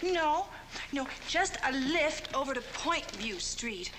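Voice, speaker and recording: A woman's voice: high-pitched, sing-song, slow pace, background noise, exaggerated voice. An actress playing a folksy, coaxing cowgirl with an in a 1960s television comedy. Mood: coaxing, sweet. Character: folksy, wheedling cowgirl, sweetly scheming villain's accomplice. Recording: old television soundtrack with music underneath.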